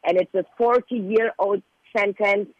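Earnest high-voiced man, Persian native speaker. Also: fairly even intonation, a little slow, dry recording, lo-fi sound. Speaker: guest, relative of a political prisoner. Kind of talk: prepared advocacy statement.

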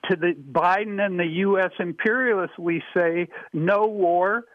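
A high-voiced male activist answers in firm, declarative phrases, like he is reading out a campaign slogan. His voice comes through slightly dull and muffled, dry and close. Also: measured pace, no echo. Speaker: guest, activist. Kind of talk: interview answer.

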